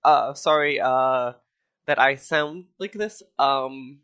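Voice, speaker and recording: A man's voice: mid-range voice, slow pace, a bit bright, clear, resonant tone. A man hosting a game interview podcast, sounding nasal from a cold and joking casually with his guests. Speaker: podcast host. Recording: dull, muffled audio.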